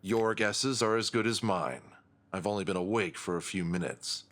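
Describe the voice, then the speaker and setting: A man playing a dry, haughty rival villain with a formal, deadpan manner in an audio drama. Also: on the low side, normal speed. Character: dry, haughty male rival antagonist, adult, menacing.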